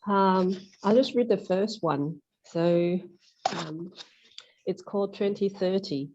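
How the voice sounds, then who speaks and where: A woman's voice: medium pitch, takes it slowly, warm tone, lo-fi sound, slight background noise. A woman poet with a cold, introducing and reading her own writing. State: has a cold, clearing throat.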